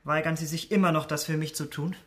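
Young male gentleman murderer, radio drama, husky, formal, pleading yet coercive, insistent. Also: a little high, fairly even intonation, fast. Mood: grave pleading.

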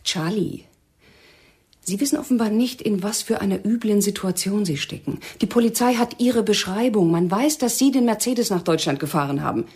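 A woman's voice: mid-range voice, lively intonation, normal speed, darkish tone, slightly rough. An actress in a radio drama playing a cold, domineering female militant interrogator, stern and mocking. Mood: pressing, scornful.

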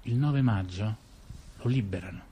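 A man's voice: on the low side, moderate pace, mellow, slightly rough. A man telling a long story in a theatrical radio dialogue, chatty and digressive, full of asides and fillers. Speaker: theater performer.

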